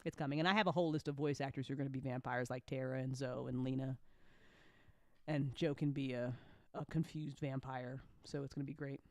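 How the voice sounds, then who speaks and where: A woman's voice: a bass voice, steady tone, fairly quick, a little mellow, no room sound. Exuberant slangy woman hosting, close dry sound. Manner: exuberant, slangy, swears freely, teasing. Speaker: host of audio drama after show, show creator. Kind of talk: casual, unscripted live show chat and plugs.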